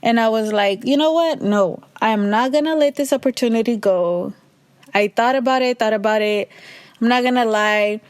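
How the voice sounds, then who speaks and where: Raspy-voiced young woman, chatty podcaster. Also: on the high side, normal speed, dry recording. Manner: chatty, loose, swears freely. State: a little tipsy. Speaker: podcast host. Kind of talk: casual solo monologue.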